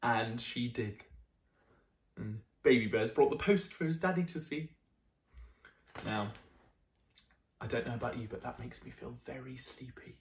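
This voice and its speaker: A young man reading a bedtime picture book to children in an expressive, deliberate way. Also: mid-range voice, far from the mic. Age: young adult. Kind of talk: animated children's story reading. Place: small indoor room, seated. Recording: roomy indoor echo.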